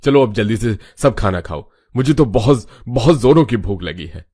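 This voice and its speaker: A man playing an easygoing, hungry middle-aged father in a cartoon, with a husky voice. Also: mid-range voice, animated, moderate pace, mellow. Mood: placating.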